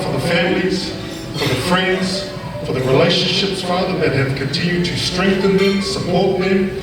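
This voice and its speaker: A man, a pastor, leading an earnest spoken prayer over a PA in a large banquet hall. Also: a little high. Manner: earnest, repeating Father and Lord. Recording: echoey PA in a large hall, from far off.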